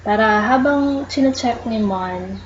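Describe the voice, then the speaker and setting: A woman with a Filipino accent walking viewers through an online process, explaining slowly and patiently while mixing English into Tagalog. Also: fairly high pitch, lo-fi sound, a little hiss or hum. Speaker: tutorial host. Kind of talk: casual screen-recorded walkthrough.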